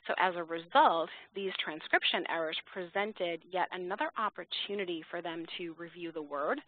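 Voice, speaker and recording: A dry, telephone-band recording carries a woman with a fairly low voice. She presents slides to educators in a measured, explanatory way. Her delivery is that of a semi-scripted webinar talk. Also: no echo. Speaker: software company webinar presenter. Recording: narrow-band phone line, dry.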